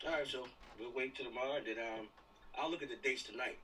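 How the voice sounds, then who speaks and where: A man's voice: medium pitch, fairly even intonation, quick pace, bright, forceful tone. An American man chatting casually as a film crew member on an online video meeting. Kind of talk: casual chat. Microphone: video call. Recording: noisy, distant video call audio.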